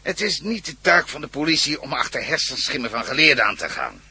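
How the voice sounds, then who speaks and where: A man's voice: mid-range voice, sing-song, a little fast, bright, forceful tone, a little hiss or hum. An actor playing a blunt, frustrated male police commissioner in a radio drama. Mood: indignant. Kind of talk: Dutch radio drama acting. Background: gunfire at a shooting range.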